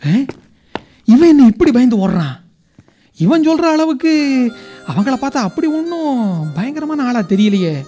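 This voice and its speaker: High-voiced, excitable young professor. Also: male voice, normal speed, faint music behind. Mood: curious, smitten. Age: young adult. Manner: excitable, stammering when nervous. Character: flustered, lovestruck young male college professor. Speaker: actor in a radio drama. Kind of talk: comic radio drama acting.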